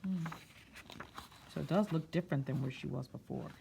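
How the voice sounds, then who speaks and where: A woman reading a picture book aloud for children, stretching key words expressively and pausing for soft explanatory asides. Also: low voice, creaky, slight background noise. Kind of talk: read-aloud story time with explanatory asides.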